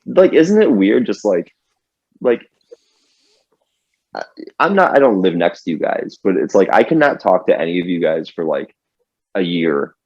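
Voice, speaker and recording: A man's voice: sits low, big pitch swings, normal speed, long pauses, a little mellow, no room sound, dull audio. A man in his thirties, a podcast guest chatting casually, rambling with many restarts and laughing often. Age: 30s. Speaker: podcast guest, band member. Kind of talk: casual chat.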